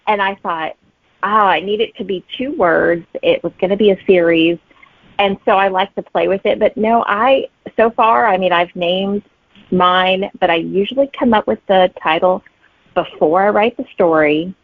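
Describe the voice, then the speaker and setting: A woman talks as an interview guest in a chatty, casual way, at a middling pitch. She tells personal stories and voices the remarks she remembers. The audio is thin and phone-like, with no room sound. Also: moderate pace, no echo. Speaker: guest author. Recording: narrow, phone-like dry audio.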